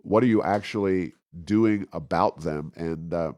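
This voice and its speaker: A man hosting an informal political commentary podcast, speaking in a halting, stammering way. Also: low voice, a little mellow, dry recording. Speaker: podcast host.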